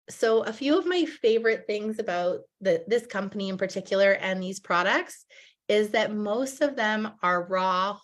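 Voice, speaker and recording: Woman host, 30s, a little husky from a cold, chatty and upbeat, video call. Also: medium pitch. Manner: chatty, upbeat, many ums and restarts. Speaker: host, direct sales presenter. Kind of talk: casual online product presentation. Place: at home, online video call.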